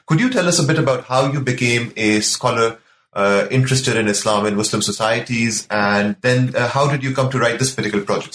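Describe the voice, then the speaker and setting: A man hosting an academic interview, courteous and effusive, with halting, polite phrasing. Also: fairly low pitch, a bit bright. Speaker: podcast interviewer and host. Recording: roomy echo with background noise.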